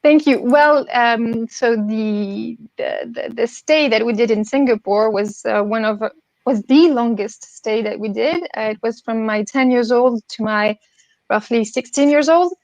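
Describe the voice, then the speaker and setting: Young french woman, english not her first language, lots of ums and restarts, highish voice. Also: measured pace, darkish tone, no echo, rough recording. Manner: hesitant, many fillers and restarts, laughs often. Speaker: guest. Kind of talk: casual conversation.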